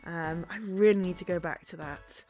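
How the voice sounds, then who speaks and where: A woman chatting as she streams a game, a bit hoarse from a sore throat, bubbly and quick to laugh. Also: on the low side, mellow, faint music behind. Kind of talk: casual game-stream chat.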